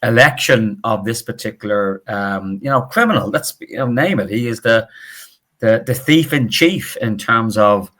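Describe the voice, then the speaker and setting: A middle-aged man with a Northern Irish accent, a professor speaking as an interview guest in an animated, emphatic, opinionated way. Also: fairly low pitch, moderate pace. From Northern Ireland. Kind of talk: conversational interview.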